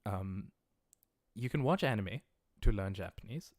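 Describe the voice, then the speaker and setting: Low-voiced male teacher, casual and dry. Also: measured pace, no room sound. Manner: relaxed, conversational, many "uh" and "um" fillers; encouraging, recommends resources directly to viewers. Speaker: language teacher. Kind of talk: casual livestreamed lesson.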